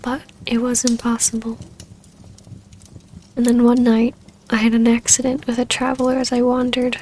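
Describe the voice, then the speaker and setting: A woman acting a wistful, tender vampire baroness in an ASMR roleplay, speaking softly and haltingly in an intimate delivery. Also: on the high side, slight background noise.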